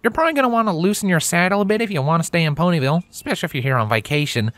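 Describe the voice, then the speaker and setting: A man's voice: sits high, expressive, racing, a bit bright, no echo, voice pushed up. A man voicing a cheerful, folksy country pony mare with a Southern country drawl. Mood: teasing. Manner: folksy and drawling, chuckling at her own jokes.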